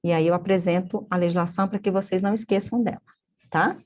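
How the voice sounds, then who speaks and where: A woman's voice: on the low side, steady tone, quick pace, a little mellow. A woman with a Brazilian Portuguese accent, an instructor teaching an online course, explaining step by step, often checking in with tag questions and correcting herself mid-sentence.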